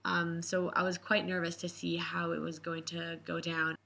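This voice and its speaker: A woman in her twenties from Israel, a camp coach, calmly telling a story in an interview. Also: lowish voice, normal speed, creaky. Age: 20s. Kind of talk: reflective interview answers. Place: indoor room with posters.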